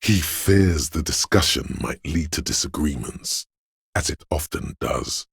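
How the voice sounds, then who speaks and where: Very deep, gravelly, menacing inner voice. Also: male voice, expressive, no echo, studio quality, full character voice. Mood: ominous, hushed. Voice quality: gravelly, husky, breathy. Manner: slow, ominous, intense delivery. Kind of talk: dramatic video game voice acting.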